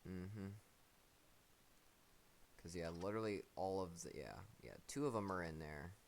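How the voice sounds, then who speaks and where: A man's voice: low voice, a little slow, a little hiss or hum. A man hosting a mobile game tournament on a live stream, talking in a laid-back, slangy, joking way. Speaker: tournament host.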